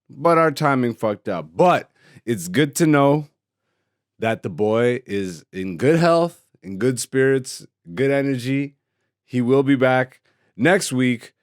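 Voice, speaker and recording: A man's voice: medium pitch, clear, resonant tone, no echo, clean studio sound. A man hosting his own podcast solo, chatting in a laid-back, joking and profane way, rambling from topic to topic. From Greece. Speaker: podcast host. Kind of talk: casual solo podcast chat.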